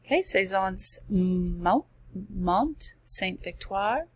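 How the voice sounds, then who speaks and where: A woman's voice: mid-range voice, animated, very slow, no echo. A woman who is losing her voice, presenting art slides in a chatty way, reading passages and adding casual asides. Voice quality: gravelly. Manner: chatty, reads passages then comments with asides.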